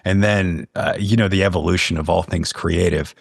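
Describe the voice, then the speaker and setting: Male interview guest, deep register, informal conversational talk, dry studio-like sound. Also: steady tone, normal speed, creaky, husky, no echo. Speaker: podcast guest, audio storyteller.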